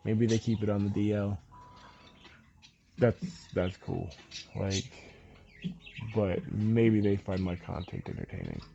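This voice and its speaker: A man's voice: lowish voice, slow, vocal fry, long pauses, lo-fi sound, a little hiss or hum. A man with a stuffy, congested voice chatting casually with many ums while he paints a model and explains each step. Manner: relaxed, rambling with many ums. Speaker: miniature painting channel host.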